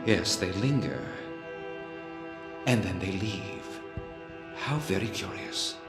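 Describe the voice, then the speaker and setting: A victorian detective, low voice, precise and analytical, big echoey hall with music behind. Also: male voice, expressive, takes it slowly, full character voice. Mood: absorbed, analytical. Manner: precise, declarative, theatrical deduction. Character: keen, self-assured male Victorian detective, coolly analytical. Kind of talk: dramatic film dialogue. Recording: reverberant hall sound with music underneath.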